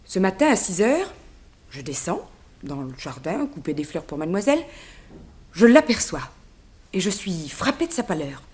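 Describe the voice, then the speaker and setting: Low-voiced, chatty chambermaid. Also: female voice, big pitch swings, moderate pace, pauses often, darkish tone. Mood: chatty, concerned. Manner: deferential, chatty. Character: talkative, respectful female chambermaid, gossipy, sympathetic. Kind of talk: 1960s radio serial acting.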